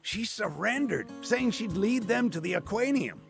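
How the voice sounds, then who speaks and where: An actor playing a weary, earnest old town mayor in a video game, with a raspy, creaking voice. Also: male voice, high-pitched, expressive, moderate pace, faint music behind. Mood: somber. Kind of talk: animated video game cutscene acting.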